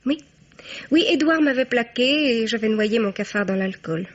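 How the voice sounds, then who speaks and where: A young woman blackmailer in a radio crime play. Her voice is fairly high, chatty and full of sly, mock-innocent taunts. The sound is dull and band-limited. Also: lively intonation, moderate pace. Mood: taunting, insinuating. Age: young adult. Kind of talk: French radio crime drama acting.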